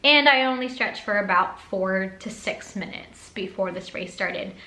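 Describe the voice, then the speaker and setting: A young woman vlogger telling a casual story in a chatty, animated way, often acting out quotes. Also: medium pitch, measured pace. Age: young adult. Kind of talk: casual story time vlog. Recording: roomy echo.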